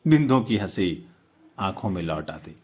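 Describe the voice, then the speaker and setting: A man narrating a literary story expressively, with measured, dramatic phrasing and pauses. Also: low-pitched, sing-song, darkish tone, slightly rough.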